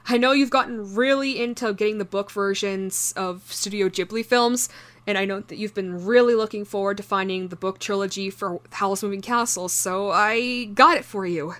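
A woman voicing an obsessive shapeshifting doppelganger posing as someone's ex, speaking haltingly in an intimate horror audio roleplay. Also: medium pitch, close to an everyday voice. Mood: nervous, ingratiating. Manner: halting, stammering, soft-spoken in menace.

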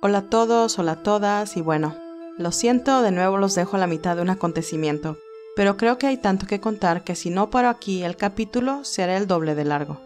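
A woman's voice: mid-range voice, animated, brisk, a little mellow. A woman narrator reading a scripted romantic story. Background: music.

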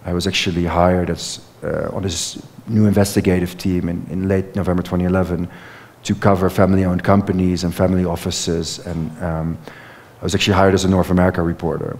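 A man's voice: sits low, level tone, a little fast, a little mellow. A Dutch man in his thirties, an author answering questions on stage, talking fluently with many fillers and restarts into a headset mic. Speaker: author and former reporter. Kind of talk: onstage conversation answering questions. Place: stage in a lecture hall. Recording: lecture hall with long reverb.

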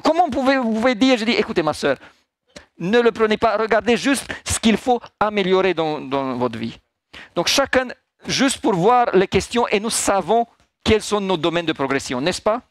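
An older male pastor preaches with a high, lively voice that jumps up when he quotes people. The sound is close and dry, with little room around it. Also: dry recording. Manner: animated, acts out dialogue. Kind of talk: sermon to a congregation. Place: small church hall.

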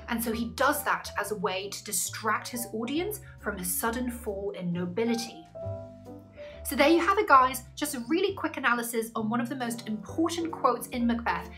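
Female tutor, twenties, slightly high voice, articulate and instructive scripted explainer over music. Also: fairly bright tone. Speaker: English literature tutor. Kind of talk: scripted educational explanation to camera. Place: small indoor room, plain wall.

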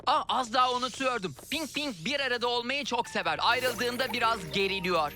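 A woman voicing a calm, knowledgeable guide to magic wishes in a dubbed children's cartoon, measured and gentle. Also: fairly high pitch, natural voice. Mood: explaining, gentle. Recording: music behind the voice.

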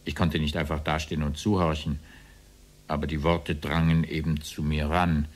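Give this radio play a very low male voice: an evasive former officer on trial. He answers the judge deferentially, in formal and circuitous phrases. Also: level tone, normal speed. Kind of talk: radio play courtroom dialogue.

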